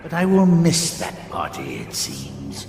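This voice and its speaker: An actor playing a proud, cultured older painter in a video game, drawling haughtily and deliberately. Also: male voice, very deep voice, huge pitch swings, a little hiss or hum, full character voice. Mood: haughty, sly. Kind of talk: dramatic game cutscene dialogue. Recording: roomy echo under loud music.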